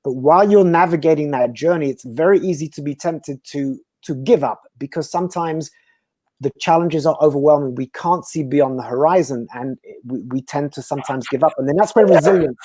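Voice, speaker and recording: A man from Belgium, a guest speaker talking earnestly and philosophically in slow, emphatic phrases. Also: a little high, dark tone, no room sound. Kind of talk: reflective conversational talk.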